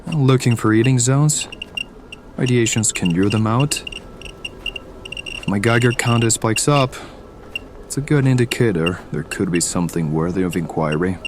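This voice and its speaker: An actor playing a pragmatic, seasoned survivalist hireling in an audio drama, matter-of-fact and instructive. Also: male voice, lowish voice, animated, moderate pace, long pauses, noisy background, close to an everyday voice. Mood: practical, focused.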